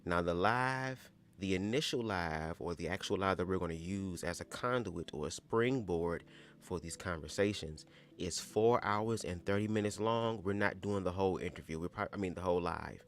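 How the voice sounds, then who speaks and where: A young man hosting a casual livestream, with a calm voice and chatty, sassy asides to his viewers. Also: normal speed. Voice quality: calm low voice. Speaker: livestream host. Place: home, squeaky old chair.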